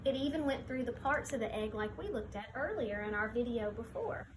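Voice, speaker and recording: A woman teacher reading a picture book aloud to young children, pausing to explain things simply and point them out. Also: a little high, expressive, background noise.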